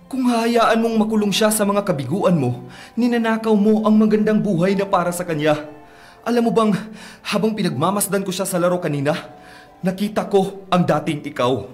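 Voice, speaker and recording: Man acting an earnest, high-voiced team coach. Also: moderate pace, darkish tone, soft background music, close to an everyday voice. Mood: earnest, persuasive. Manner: emphatic, persuasive. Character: encouraging, earnest male volleyball coach. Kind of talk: radio drama acting.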